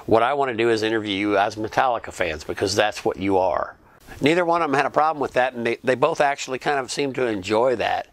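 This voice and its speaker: A man tells his travel story for his own channel in an easygoing, conversational way. His voice sits at an ordinary middle pitch. He restarts a phrase here and there and keeps on talking. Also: quick pace, no room sound. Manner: relaxed, chatty, with small restarts. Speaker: YouTube vlogger and fan interviewer. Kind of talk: casual recounting narration.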